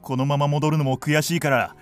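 A man playing a hot-headed, vengeful village hunter in a radio drama, rough and blustering. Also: a little high, flat delivery, a little fast, faint music behind, close to an everyday voice. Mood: grumbling.

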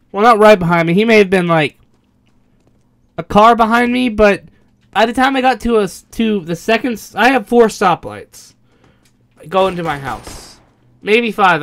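Young man, American accent, high voice, casual storytime, rambling, foul-mouthed. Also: a bit bright. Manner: rambling, profane. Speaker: YouTube storyteller. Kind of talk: casual storytime over gameplay.

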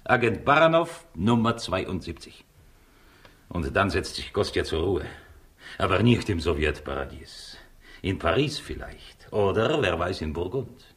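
An actor playing a cynical, greedy Soviet agent in a 1960s radio crime play, smug and lecturing. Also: male voice, sits low, big pitch swings, unhurried, a bit bright, exaggerated voice. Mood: smug, boastful. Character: cynical, scheming Soviet agent, male, greedy and self-assured. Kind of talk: 1960s German-language radio crime drama acting.

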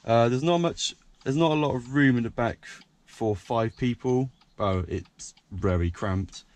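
A young man with a croaky voice, talking casually and rambling with lots of fillers while he films himself showing off his car. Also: mid-range voice, darkish tone. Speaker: car vlogger. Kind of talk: casual point-of-view car walkaround. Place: parked car by a country lane, outdoors and inside the car. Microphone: action camera held by hand.

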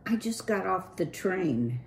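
A woman's voice: on the low side, sing-song, takes it slowly, vocal fry, roomy sound, a little hiss or hum, pitched above the performer's own voice, heavily acted. A woman voicing a polite, naive young male country mouse who speaks formally and earnestly. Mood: earnest, puzzled.

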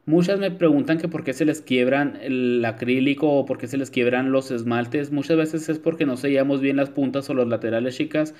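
A man's voice: medium pitch, moderate pace, hardly any pauses, darkish tone. A man narrating a nail tutorial in a chatty voice-over, with frequent filler words. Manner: chatty, many filler "este", addresses viewers as "chicas". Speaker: nail technician.

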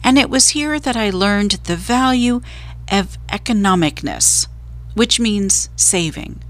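A woman acts out a self-pitying storybook mouse, pitched high and choked with emotion. He is a theatrical miser who draws out his flowery words slowly, as if every one pains him. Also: very animated, vocal fry. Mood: tearful, grandiose. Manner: melodramatic, flowery, old-fashioned phrasing.

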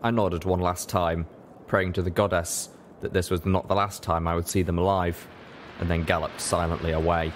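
A low-pitched man narrates a story in scripted audiobook style. There is clear noise behind his voice. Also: little pitch movement, normal speed. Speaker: audiobook reader. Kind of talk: scripted narration of fan fiction.

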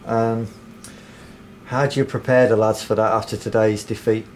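Guy from the club media asking post-match questions, measured, bit hesitant, faint room hum. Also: fairly low pitch, flat delivery, a little mellow.

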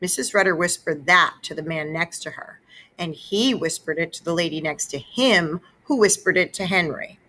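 A woman narrates a children's story expressively. Her voice sits a little low, with a bright, forward edge. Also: normal speed. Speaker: read-aloud narrator.

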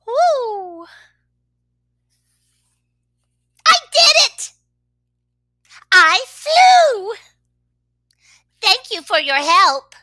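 Little girl pig from a kids book, stubborn n excitable, super high voice, swoopy. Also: no room sound, clean studio sound, exaggerated voice. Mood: excited. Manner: excitable, slow exclamations. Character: eager, stubborn little girl pig who wants to fly. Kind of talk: children's picture-book read-aloud.

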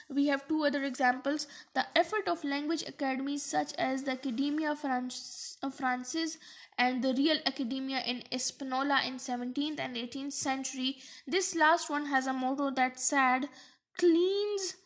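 A woman giving a university lecture over slides, explaining step by step and often restarting or correcting herself. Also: high voice. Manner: explains slowly, with frequent restarts and self-corrections.

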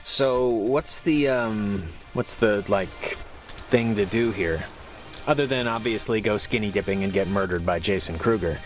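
A laid-back, awkward teenage boy with an American accent , voiced by a man. His voice is on the low side and unhurried, with a hesitant, low-key delivery. It is naturalistic game dialogue over faint music. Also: natural voice. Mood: casual, hesitant. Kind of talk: naturalistic video game acting.

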